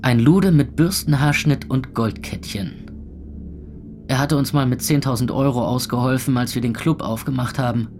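An actor playing a weary, sardonic young club owner, narrating his own life in a deadpan way for a radio play. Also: medium pitch, normal speed, natural voice. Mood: tense, wry. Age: young adult. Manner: deadpan, dry.

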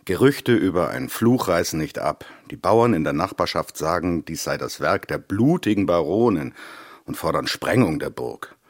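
A man's voice: sits low, expressive, moderate pace, no room sound, studio quality. An actor playing an easygoing, placating middle-aged father with a wry manner in a children's radio play. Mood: reading aloud. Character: easygoing, soothing father of a schoolboy.

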